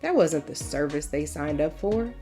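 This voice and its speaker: A woman's voice: low-pitched, expressive, moderate pace, warm tone, soft background music, pitched below the performer's own voice. A woman reading a storybook as a proud, folksy mother who recounts wartime history in an emphatic, conversational first person. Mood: reflective, earnest. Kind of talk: storybook read-aloud, first-person narration.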